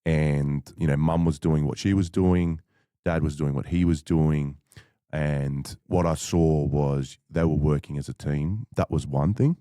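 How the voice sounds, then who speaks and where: A man as a podcast guest, telling his story in a measured, thoughtful way with frequent fillers. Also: very deep voice, warm tone, no echo, clean studio sound. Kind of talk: reflective conversational storytelling.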